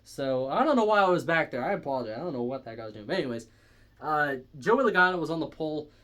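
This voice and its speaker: A man's voice: fairly high pitch, lively intonation, quick pace, a bit bright. A young man casually reviewing race results on his own, rambling and correcting himself with lots of fillers. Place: indoors, stepping back from the camera at times.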